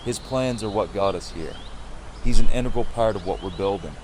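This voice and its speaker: An actor playing a weary, earnest community leader in an audio drama, with a measured delivery. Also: male voice, lowish voice, natural voice. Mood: regretful, earnest. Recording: clearly noisy outdoor ambience behind voice.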